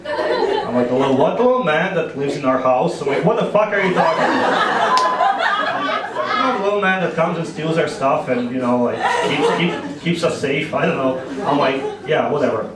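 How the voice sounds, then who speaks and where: A man in his thirties from Croatia with a Croatian accent, doing an animated, playful stand-up set on a club stage with a handheld mic. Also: a little high, distant mic, heavy background noise. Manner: animated, playful storytelling with quick asides. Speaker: stand-up comedian. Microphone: handheld microphone. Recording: echoey club, audience laughter. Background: audience laughter.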